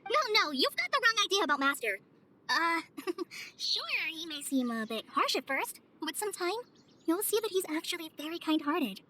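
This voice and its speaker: A woman playing a kindly young forest ranger trainee in a video game, gentle and earnest, with a hesitant, apologetic manner. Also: very high voice, big pitch swings, moderate pace, a little hiss or hum, full character voice. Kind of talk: video game cutscene voice acting. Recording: music behind the voice.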